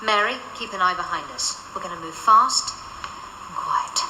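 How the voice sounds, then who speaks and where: A woman's voice: lowish voice, lively intonation, normal speed, close to an everyday voice. An actress playing an earnest, self-important female agent with formal, precise speech, in a radio drama. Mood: focused, brisk. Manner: formal, precise, literal-minded. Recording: noisy, echoey cavernous radio scene.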